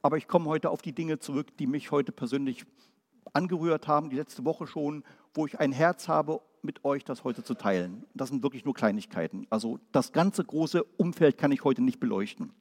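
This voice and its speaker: A man from Berlin preaching a Pentecostal sermon, firing off rhetorical questions and then dropping quiet and low. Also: normal speed, big-room sound. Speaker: pastor.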